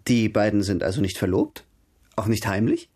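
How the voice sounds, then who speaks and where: An actor playing a charming, eloquent young gentleman in a radio drama, polite and teasing. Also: on the low side, animated, moderate pace, slightly rough, dry recording, studio quality. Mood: polite, persuasive. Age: young adult. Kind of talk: radio drama dialogue, period literary adaptation.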